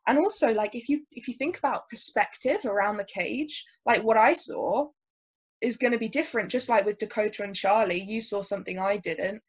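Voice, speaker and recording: A young woman with a high-pitched voice, talking casually as a podcast guest. She is animated and laughs often. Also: brisk, breathy edge. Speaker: podcast guest, grappling commentator. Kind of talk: casual chat.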